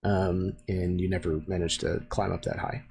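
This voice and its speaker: A man narrating a tabletop role-playing game as game master, relaxed and hesitant, with many fillers. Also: sits low, moderate pace, vocal fry, breathy edge.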